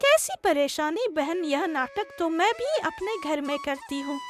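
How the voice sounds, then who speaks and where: Very high, lively woman as a scheming neighbour. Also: a little slow. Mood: sly, persuasive. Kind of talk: acted cartoon storytelling.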